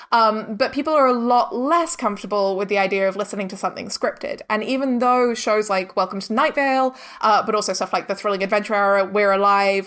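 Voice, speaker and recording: A woman's voice: mid-range voice, a bit bright. A woman talking casually as an interview guest, informal and chatty, peppering her speech with "like" and "kind of". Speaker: interview guest, audio drama director and producer.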